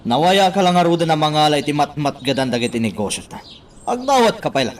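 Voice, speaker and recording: A man's voice: on the high side, big pitch swings, fast talker, slight background noise. A Filipino man playing an exasperated, stingy husband in a radio drama, scolding with emphasis. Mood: nagging.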